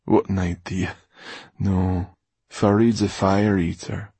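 A man's voice: sits low, flat delivery, takes it slowly, mellow, husky, dry recording, exaggerated voice. A man voicing a wry, weary, secretive fire performer with a sardonic, muttering manner in an audiobook. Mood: amused. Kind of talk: audiobook character dialogue.

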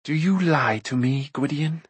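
A man voicing a cunning, scornful sorceress queen in an audiobook, hoarse and whispering, with slow, threatening, commanding delivery. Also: medium pitch, animated, dry recording. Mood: cold, threatening. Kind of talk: audiobook character voice.

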